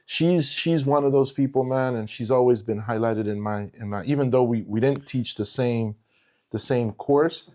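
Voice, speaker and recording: Emphatic man with a dark voice. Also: mid-range voice, moderate pace. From Portugal. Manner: emphatic, repeats words when building a point. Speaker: former teacher. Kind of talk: casual conversation.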